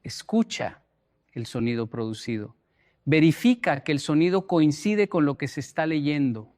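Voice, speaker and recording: A man speaking as a motivational speaker, deliberate and emphatic, repeating phrases for effect as he explains and tells stories. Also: a little high, animated, a little mellow. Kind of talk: motivational talk with storytelling.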